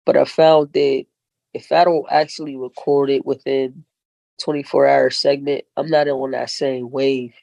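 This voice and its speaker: A woman's voice: extremely low pitch, little pitch movement, measured pace, creaky, dark tone, dry recording, poor audio. A woman rapper talking as a guest in a casual podcast interview, laid-back and slangy, often saying "you know what I'm saying".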